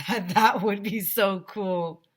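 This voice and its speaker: A woman teacher reading a children's story aloud in an expressive, dramatic, emphatic storytelling manner. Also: medium pitch, slow pace.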